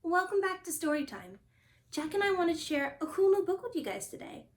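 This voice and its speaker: A woman hosting a storytime, reading a children's picture book aloud with expressive, deliberate phrasing. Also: extremely high pitch. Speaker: storytime host and reader.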